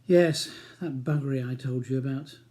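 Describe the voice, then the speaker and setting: A man's voice: medium pitch, normal speed, dark tone, lightly acted. A man reading an audiobook as a weary, defensive barrister husband with a wry, ironic manner. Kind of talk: audiobook character dialogue.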